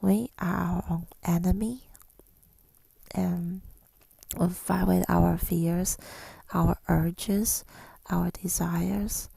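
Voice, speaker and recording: A woman speaking in a soft, breathy whisper in an ASMR style, reflective and hesitant, pausing often, close to the microphone. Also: lowish voice, fairly even intonation, no echo. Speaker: ASMR creator. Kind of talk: ASMR, rambling personal reflection.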